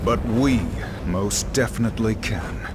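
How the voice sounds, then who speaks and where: Grave, slow-speaking sorcerer hero acted by a man. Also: fairly low pitch, very noisy, lightly acted. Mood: grave, explanatory. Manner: theatrical, measured, expository. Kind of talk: video game cutscene acting.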